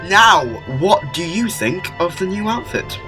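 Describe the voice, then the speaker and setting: A man's voice: high-pitched, over music. A man playing a whimsical, upbeat alien time traveller with a playful manner in a fan audio drama. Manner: playful, animated, quick shifts.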